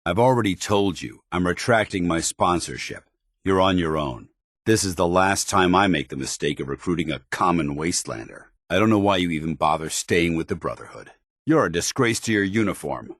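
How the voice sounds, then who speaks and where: A dutiful paladin in power armor from a video game. His voice is very deep and recorded very dry. He speaks with stern, formal military bearing, clipping his phrases like an officer. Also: expressive, fairly quick, fairly bright tone, no echo. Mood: stern, contemptuous. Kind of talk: video game companion dialogue acting.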